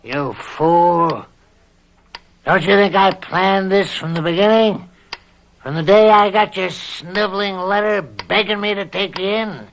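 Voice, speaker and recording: An actor playing an evil, lecherous old farm uncle in a radio play, slow, bullying and taunting, on an old noisy tape. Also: male voice, high voice, fairly bright tone. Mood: gloating, cruel.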